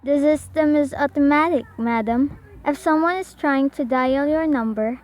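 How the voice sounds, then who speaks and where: An actress plays a telephone operator in a radio drama, her voice high. She is courteous and procedural, formal and patient in an unbending way. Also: moderate pace, a little mellow, lightly acted. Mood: polite, procedural. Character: polite, formal, unhelpful female telephone operator. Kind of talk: amateur radio play acting.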